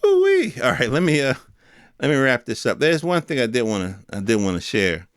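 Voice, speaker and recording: American man, podcast host, very animated swooping pitch, colloquial ranting, rhetorical questions, dry close sound. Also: a little fast, smooth, dry recording. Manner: colloquial, rhetorical questions, mimics imagined speakers. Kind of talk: casual opinion monologue, ranting.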